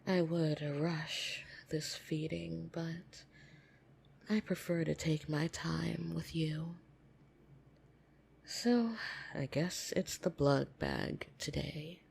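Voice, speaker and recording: A woman voicing a lonely, flirtatious vampire in an ASMR roleplay, soft and breathy, teasing and intimate with hesitant pauses, tender yet easily irritated. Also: deep voice, slight background noise, full character voice. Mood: wistful, affectionate. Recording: soft piano music behind.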